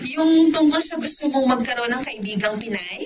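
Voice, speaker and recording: An actress playing a brisk, teasing but obliging woman friend in a radio drama, frank and mildly exasperated. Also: high voice, expressive, slight background noise. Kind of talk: Tagalog radio drama acting.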